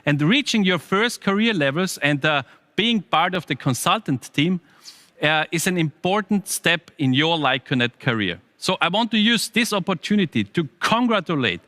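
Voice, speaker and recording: A man's voice: a little high, sharp tone, no room sound, studio quality. A man hosting a company's online event, presenting in with frequent hesitations. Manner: scripted, with frequent uh fillers. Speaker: event host.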